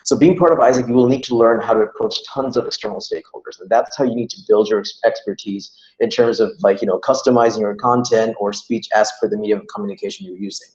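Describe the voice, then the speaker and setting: Young male workshop presenter, lowish voice, casual and rambling, roomy call audio. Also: fast, few pauses, darkish tone, highs cut off. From Iran. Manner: chatty, many fillers like "you know" and "like". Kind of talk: online training talk. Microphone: video call.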